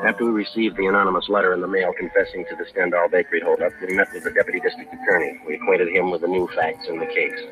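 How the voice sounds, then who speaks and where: A man acting a terse police detective in an old radio drama. His low voice moves quickly through the facts, flat and businesslike, with music behind him on a vintage recording. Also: natural voice, rough recording. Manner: deadpan, matter-of-fact, clipped. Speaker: narrator and lead actor. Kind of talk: 1950s radio police drama acting, clipped scripted narration.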